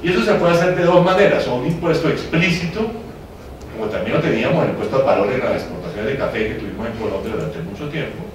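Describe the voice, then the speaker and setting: A man speaking as a panelist at a policy forum, pausing often with fillers and restarts. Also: mid-range voice, lively intonation, noisy background. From Venezuela. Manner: hesitant, many fillers and restarts. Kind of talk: public policy talk. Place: conference hall. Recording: very echoey hall, distant mic.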